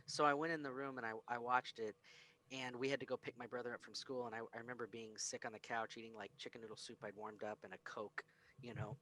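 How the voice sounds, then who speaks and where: An American man on a podcast, telling personal stories in casual film discussion with an animated, acted-out delivery. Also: medium pitch, fast talker, poor audio. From United States, grew up in Springfield and Texas. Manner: animated storyteller, acts out quoted lines. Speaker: podcast co-host.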